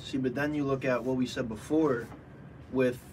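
A man's voice: mid-range voice, fairly even intonation, moderate pace, a little mellow, distant mic, low-quality recording. Young man chiming in off-mic. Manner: agreeable, interjecting. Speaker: radio show panelist. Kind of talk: casual sports debate. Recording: off-mic with faint room noise.

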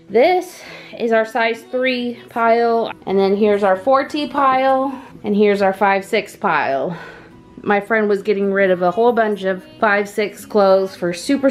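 A woman in her thirties, a vlogger chatting upbeat and straight to her viewers while decluttering at home. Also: mid-range voice. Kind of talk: decluttering vlog voice-over. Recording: music playing underneath.

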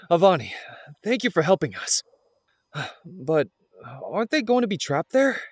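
Man playing a husky, excitable young-sounding elf. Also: on the high side, measured pace, no room sound, exaggerated voice. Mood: relieved, wry. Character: excitable, wry part-elf adventurer, eager to please. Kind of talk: fantasy audio drama acting.

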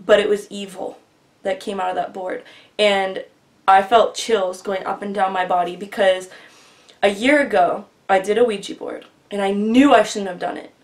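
A woman's voice: mid-range voice, fairly even intonation, moderate pace. Earnest young woman telling a story. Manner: earnest, rambling, often quieter and trailing. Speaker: vlogger. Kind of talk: casual storytelling. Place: small bedroom with bookshelf, indoors. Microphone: webcam at desk distance.

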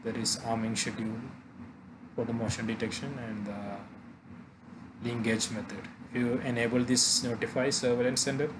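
A man narrating a software tutorial haltingly, pausing often mid-phrase. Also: medium pitch, flat delivery, background noise. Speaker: tutorial presenter.

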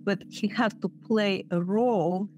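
Creaky-voiced woman explaining in a roomy recording. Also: medium pitch, steady tone, a little slow, over music. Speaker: interviewed literature expert. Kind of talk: unscripted explanatory interview talk. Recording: roomy, echoing interview audio.

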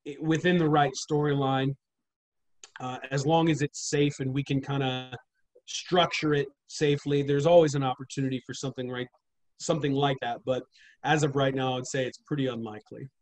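Man, 30s, wrestling trainer on a video call; measured and earnest, plain mid-range voice. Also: mid-range voice. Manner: measured, earnest, steady explaining. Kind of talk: casual interview over video call. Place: small indoor room at home. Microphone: webcam on video call.

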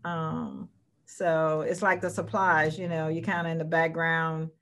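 A woman's voice: sits low, level tone, a little slow, a bit bright. Friendly woman chairing a video meeting. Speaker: board chair. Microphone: video call.

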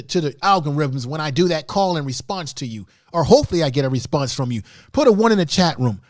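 Man, middle-aged, high voice, emphatic livestream host, instructive, repetitive, close dry mic. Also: dry recording. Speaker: livestream host, YouTube creator. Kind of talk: live-stream tutorial talk to chat room. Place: small home studio room indoors. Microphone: large microphone close in front of mouth.

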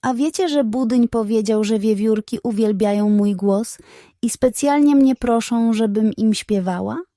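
A woman's voice: on the high side, a little slow, dark tone, no room sound, studio quality. A woman voicing a vain, naive hen who talks in a boastful, self-satisfied way. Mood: proudly boasting. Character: vain, naive hen proud of her singing.

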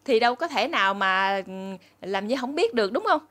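A woman's voice: on the high side, lively intonation, brisk, bright, forceful tone. A middle-aged woman with a southern Vietnamese accent, telling stories casually and chattily to her followers. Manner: chatty, conversational, addressing viewers as family. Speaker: channel host and storyteller. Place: small room indoors.

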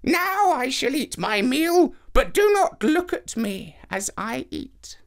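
A man voicing a commanding old woman who gives slow, deliberate instructions in a storybook tale. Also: extremely high pitch, very animated, fairly bright tone, voice pushed up, exaggerated voice. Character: commanding old woman giving strange errands.

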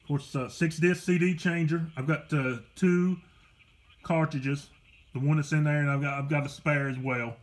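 A man giving a casual, unscripted product demonstration in a halting, plainspoken way, recorded at home on a handheld camera. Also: fairly high pitch, steady tone. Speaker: online seller demonstrating a car stereo. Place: carpeted room at home. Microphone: handheld camera behind the lens.

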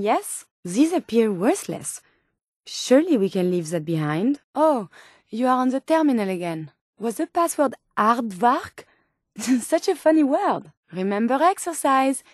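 A woman's voice: a little high, no room sound, studio quality. An actress voicing a polite, earnest, curious female medical robot companion in a video game, with formal, precise, quaint phrasing.

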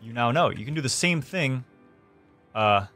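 A young man hosting a gaming tutorial, explaining things clearly step by step from his streaming room. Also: medium pitch, expressive, normal speed, fairly bright tone, soft background music. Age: young adult. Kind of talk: tutorial narration. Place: small indoor room streaming setup.

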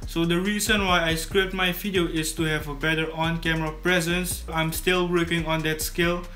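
A man's voice: on the high side, little pitch movement, normal speed, pushed and bright, faint music behind. A teenage boy from Suriname whose first language is Dutch, casually explaining his video process to camera in a small room studio, often restarting his words. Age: teens. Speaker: YouTube creator. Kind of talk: scripted tutorial vlog to camera. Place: small bedroom studio indoors.

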